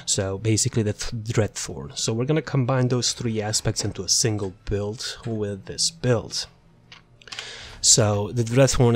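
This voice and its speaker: Casual low-voiced man explaining a game build. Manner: rambling, offhand, self-deprecating. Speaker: game build guide creator. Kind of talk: casual game guide walkthrough.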